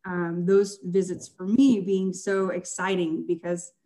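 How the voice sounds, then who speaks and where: Chatty young woman with a mellow voice. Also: lowish voice, little pitch movement, unhurried. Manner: chatty, laughs often, many fillers. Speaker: guest. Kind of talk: casual interview chat.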